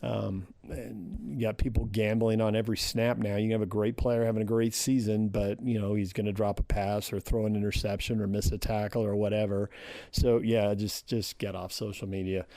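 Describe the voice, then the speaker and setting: Low-voiced American sports radio host, man. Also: level tone, moderate pace, dark tone, no echo. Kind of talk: casual sports talk radio commentary.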